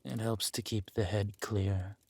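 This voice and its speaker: Guy doing a vengeful fantasy warlord, real slow n deep-ish, menacing, deliberate. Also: little pitch movement, breathy edge, no echo. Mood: intimate, brooding. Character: ruthless villain warlord lord, vengeful, possessive lover. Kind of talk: dramatic villain roleplay acting.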